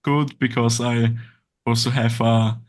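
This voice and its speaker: Austrian man in halting English. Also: mid-range voice, flat delivery. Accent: Austrian German. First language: German. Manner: halting English, searching for words, frequent restarts. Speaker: guest. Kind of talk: casual interview chat.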